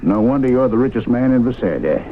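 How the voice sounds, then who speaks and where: A man's voice: mid-range voice, lively intonation, fast talker, natural voice. An actor playing an indignant, hot-headed small-town man, blustering and folksy, in an old radio drama. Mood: worried, skeptical. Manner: blustering, folksy, forceful wording. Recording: tinny, noisy old radio broadcast recording.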